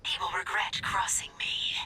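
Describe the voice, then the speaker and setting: A woman's voice: moderate pace, whispered, distant mic. A woman voicing an intense, devoted young female robot assistant in a video game, childlike and melodramatic, turning from pleading to menacing. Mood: scheming, menacing. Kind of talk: video game character voice acting. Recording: loud music behind the voice.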